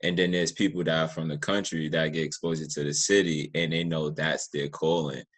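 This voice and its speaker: A man's voice: extremely low pitch, little pitch movement, a little fast, a bit bright. An American man hosting a conversational interview in an encouraging, affirming way. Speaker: podcast host, interviewer.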